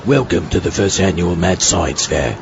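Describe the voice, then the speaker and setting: An actor playing a long-suffering event greeter reciting a rote welcome in a comedy audio drama. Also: male voice, low voice, expressive, moderate pace, creaky. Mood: rote, weary. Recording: muffled lo-fi, background noise.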